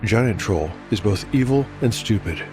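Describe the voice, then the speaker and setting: Low-pitched male fantasy game narrator over music. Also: animated, moderate pace. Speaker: narrator and solo game master. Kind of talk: scripted narration of a fantasy actual-play game. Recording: music under voice. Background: clear music.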